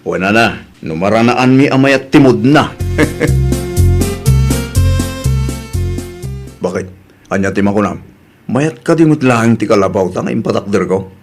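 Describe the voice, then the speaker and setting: A man's voice: fairly low pitch, expressive, normal speed, faint music behind, close to an everyday voice. A man playing a humble, polite husband in an audio drama, deferential and easygoing, with an Ilocano accent. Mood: friendly, curious.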